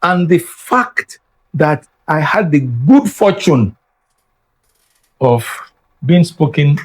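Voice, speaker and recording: A man's voice: on the high side, lively intonation, takes it slowly, slightly rough. Emphatic older Nigerian man, lecturing. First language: Yoruba. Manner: emphatic and lecturing, repeats words for stress. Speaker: guest scholar. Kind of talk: reflective interview talk.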